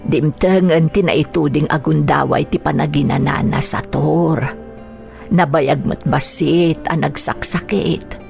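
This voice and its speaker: Creaky-voiced, caring Ilocano older sister. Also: female voice, low voice, exaggerated voice. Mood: sympathetic. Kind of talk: Ilocano radio drama acting.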